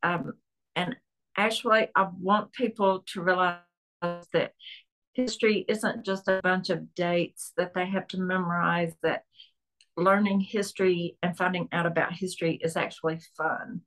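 A woman's voice: fairly low pitch, fairly even intonation, measured pace, a bit bright, low-quality recording. A woman from Tennessee with a Southern accent, a historian and author chatting as a guest on a podcast interview. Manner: chatty, friendly, with frequent restarts.

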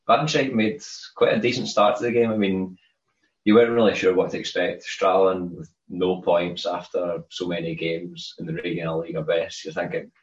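Deep-voiced Scottish man, roomy lo-fi sound. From Scotland. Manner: rambling, repeats words when starting. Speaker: podcast co-host. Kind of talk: casual chat.

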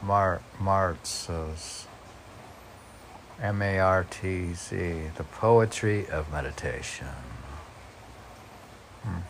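A man's voice: low voice, very slow, long pauses, slight background noise. A man reading aloud and commenting informally, musing in a rambling way as if to himself. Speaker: podcast host reading and commenting on poems.

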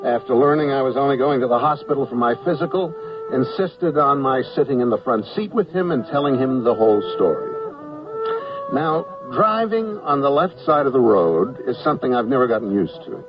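A man's voice: medium pitch, animated, brisk, music in the background, natural voice. An actor playing a reasonable, exasperated male war correspondent in a radio comedy drama, wry and conversational. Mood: wry narration. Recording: dull radio recording, slightly distant.

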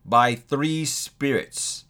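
A man's voice: mid-range voice, pushed and bright, smooth, pitched above the performer's own voice. A man voicing a mournful old ghost in chains. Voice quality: slow, heavy, mournful delivery. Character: doomed old male ghost, solemn and grieving.

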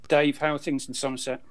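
A man who hosts a casual group chat, brisk and organizing with dry humour. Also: on the high side, flat delivery. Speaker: host keeping the show on track.

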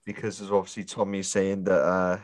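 A man's voice: sits low, little pitch movement, a little fast, no echo. A man co-hosting a casual podcast, laid-back and chatty, with a chesty cough. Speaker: podcast co-host. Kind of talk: casual chat.

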